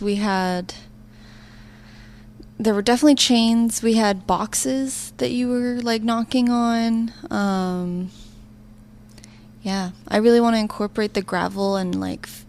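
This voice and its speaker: A woman's voice: a little high, takes it slowly. A young woman giving casual interview answers, informal and sprinkled with filler words like "kind of". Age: young adult. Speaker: student interviewee, live sound operator.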